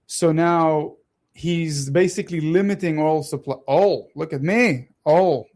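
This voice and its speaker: A man in his thirties with a soft Israeli-Italian accent, a native Hebrew speaker, talking casually and animatedly as a radio co-host. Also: on the high side. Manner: animated, talks fast with many restarts and laughs. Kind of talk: casual political chat.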